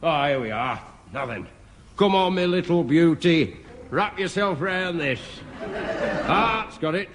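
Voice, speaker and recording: A man's voice: fairly high pitch, lively intonation, measured pace, a bit bright, slight background noise. A man playing a blunt, jokey building-site foreman with a Northern English accent in a radio sitcom. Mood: nervous. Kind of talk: 1960s radio sitcom acting. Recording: reverberant old radio recording.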